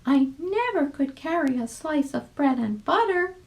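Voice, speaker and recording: A woman voicing a tiny, sensible red ant in a storybook, small and squeaky, polite and matter-of-fact. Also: animated, measured pace, poor audio. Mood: grateful.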